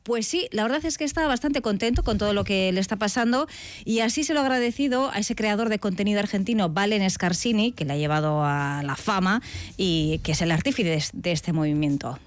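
A woman's voice: mid-range voice, fairly quick. A woman with an accent from Spain, presenting and chatting in a light-hearted style on a talk show. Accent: Spanish, from Spain.

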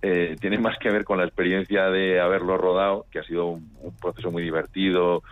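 A man's voice: low-pitched, little pitch movement, a little fast, dry recording. A man from Pamplona with a northern Spanish accent, chatting casually and anecdotally as an interviewed filmmaker over a phone-like radio link. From Pamplona, Spain. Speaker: documentary filmmaker being interviewed.

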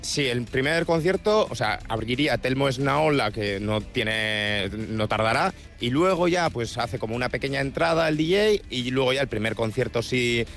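A man with a Spanish accent from Spain reporting live in a casual, halting way, often repeating himself. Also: medium pitch, a bit bright. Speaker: radio reporter on location. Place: outdoors at a festival site before it starts.

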